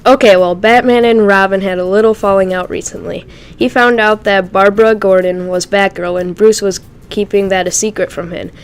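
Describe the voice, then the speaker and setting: A woman's voice: medium pitch, fairly even intonation, moderate pace, clean tone, natural voice. A woman voicing a joking young male speedster hero in an amateur audio drama, chatty and teasing.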